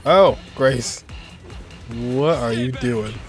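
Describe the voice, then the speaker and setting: A man's voice: medium pitch, extremely slow. A young man giving casual reaction commentary as he streams a game. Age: young adult. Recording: game music behind.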